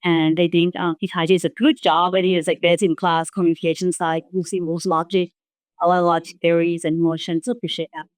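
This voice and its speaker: A woman speaks in a smooth, clear voice that sits slightly low. She gives a spoken comment and question as an audience member, recorded dry. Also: flat delivery, fairly quick, no echo. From Thailand.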